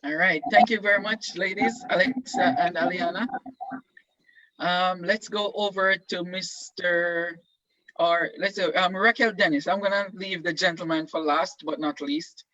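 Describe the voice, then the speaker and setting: A man's voice: sits high, steady tone, a little slow, pushed and bright, highs cut off. A man casually hosting an online event as he introduces guests. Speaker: host. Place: online video call.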